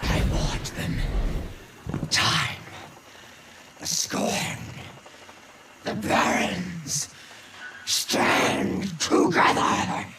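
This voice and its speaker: An actress voicing a furious, defiant female alien raider baron in a video game, with a harsh, breathy growl and short, broken bursts of speech. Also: on the low side, very slow, long pauses, background noise. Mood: weakened, defiant. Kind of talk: video game villain acting.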